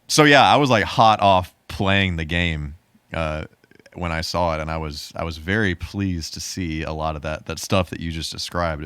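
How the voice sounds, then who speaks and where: A man with a deep, low voice speaks in a very dry recording. He chats casually as a podcast co-host, sharing his own experiences in an everyday conversational way. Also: a bit bright, clear, resonant tone, no room sound. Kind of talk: casual chat, personal anecdote.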